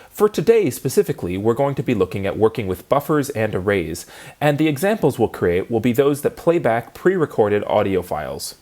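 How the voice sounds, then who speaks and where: A man giving a prepared technical lecture, explaining clearly and methodically step by step. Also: mid-range voice, darkish tone.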